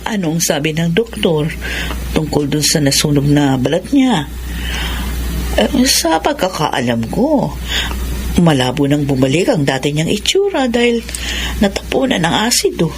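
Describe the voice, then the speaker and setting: An actress with a Filipino accent playing a concerned middle-aged visitor in a radio drama, expressive in delivery. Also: female voice, sits low, a little slow, pauses often, a little hiss or hum. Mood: concerned, inquisitive. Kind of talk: Tagalog radio drama acting.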